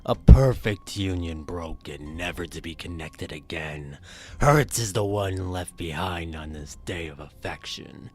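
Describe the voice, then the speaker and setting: Deep, slow, pompous supervillain. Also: male voice, darkish tone. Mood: brooding, intrigued. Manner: pompous, menacing, drawn-out delivery. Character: sinister, scheming male supervillain, grandiose and theatrical. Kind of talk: fan audio drama acting.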